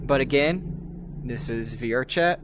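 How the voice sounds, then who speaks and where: A man's voice: medium pitch, measured pace, very noisy. A man giving a casual, unscripted game review in a hesitant, rambling way. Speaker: gaming video host.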